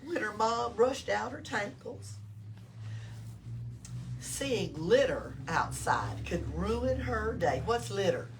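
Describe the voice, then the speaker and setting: Slow, animated Southern woman reading to kids. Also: mid-range voice. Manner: expressive, engages listeners with questions. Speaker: storytime reader at a library. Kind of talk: read-aloud to children with questions and asides. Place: indoors in a library. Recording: distant mic, noticeable room noise.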